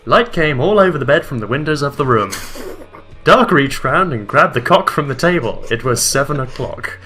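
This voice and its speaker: Bright-voiced man reading deadpan, under the weather. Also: fairly high pitch, moderate pace, faint music behind. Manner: deadpan reading, breaking into laughter. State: ill with a headache. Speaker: co-host reading aloud. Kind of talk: comic reading of fan fiction.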